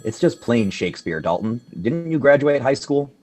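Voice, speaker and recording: An actor playing an exasperated, bookish literature expert in a radio play, dry and incredulous in manner. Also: male voice, medium pitch, big pitch swings, moderate pace, darkish tone.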